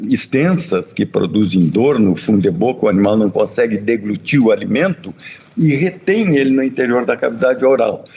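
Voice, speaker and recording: A Brazilian man speaks as a university professor giving a lecture. His voice sits at an average pitch for a man. He explains things didactically, with halting phrasing, repeated words and regular little tag questions. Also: vocal fry, a little mellow. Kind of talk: lecture with slides.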